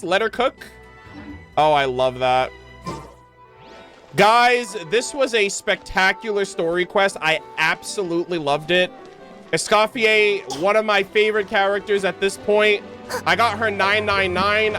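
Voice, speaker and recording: A man's voice: high-pitched, pushed and bright. A man giving casual livestream commentary on a game in an enthusiastic, gushing way. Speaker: game streamer. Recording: game music behind.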